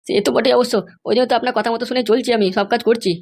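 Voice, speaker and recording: Nervous servant sidekick woman doing it for a cartoon, worried n obedient, gravelly edge, talks super fast. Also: fairly high pitch, steady tone, a little mellow. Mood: anxious, obedient. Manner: deferential, worried, questioning. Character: anxious, obedient accomplice serving a scheming madam. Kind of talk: comedy cartoon dialogue.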